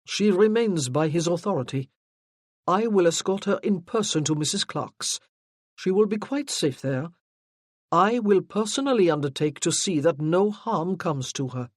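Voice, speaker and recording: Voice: mid-range voice, normal speed, no echo, clean studio sound, natural voice. An audiobook narrator voicing a courteous but steely nobleman with a French accent. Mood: firm. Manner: calm, firm, courteous.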